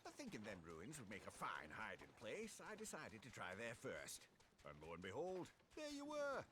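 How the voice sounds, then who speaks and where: Jovial rustic wagon driver for a fantasy game: hugely animated pitch, colloquial, chatty, some background noise. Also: male voice, moderate pace. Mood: chatty storytelling. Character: chatty, folksy male wagon driver, jovial rogue helper. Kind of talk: scripted game voice acting.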